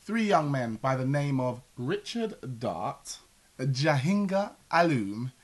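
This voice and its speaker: A man's voice: mid-range voice, very animated, slow pace. A young man co-hosting a casual religious commentary chat, playful and jokey as he tells a story. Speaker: co-host.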